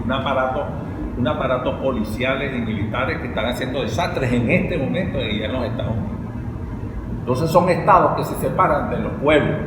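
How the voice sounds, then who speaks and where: Venezuelan man speaking in an echoey hall. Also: fairly high pitch. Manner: rhetorical questions, emphatic repetition. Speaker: speaker at a university forum. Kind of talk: impassioned political speech. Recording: echoey hall with clear background noise.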